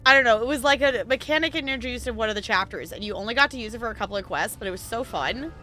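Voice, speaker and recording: Bubbly high-voiced woman streamer. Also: animated, sharp tone, faint music behind. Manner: chatty and bubbly, quick to gush. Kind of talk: casual gaming chat.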